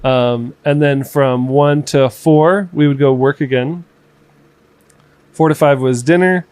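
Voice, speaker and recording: A man's voice: mid-range voice, steady tone, takes it slowly, darkish tone, no echo. A man in his thirties, co-hosting a casual home podcast, telling a long story with many fillers and restarts. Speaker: podcast co-host. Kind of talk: casual chat. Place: home room.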